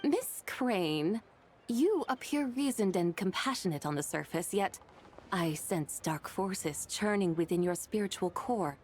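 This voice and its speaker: A woman playing a serene, mystical adept in a video game, speaking in formal, old-fashioned, measured phrases. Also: medium pitch, expressive, a little mellow, a little hiss or hum, lightly acted. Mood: solemn, knowing. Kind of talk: video game character acting. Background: game music.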